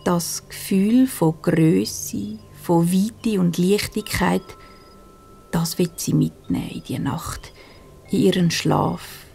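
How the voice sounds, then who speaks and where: A woman with a Swiss German accent narrating a children's bedtime story softly and soothingly, breathy and hushed, with gentle pauses. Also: fairly low pitch, lively intonation, faint music behind. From Switzerland.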